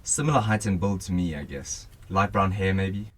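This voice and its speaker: A man's voice: low-pitched, normal speed, a bit bright, slightly rough. A young man playing a guarded, dry civilian helping police in a radio drama. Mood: defensive. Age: young adult.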